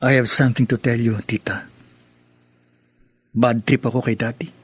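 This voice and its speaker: An actor playing a resentful, stubborn young adult son in a Filipino radio drama. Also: male voice, mid-range voice, level tone, normal speed, husky, lightly acted. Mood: bitter, defiant.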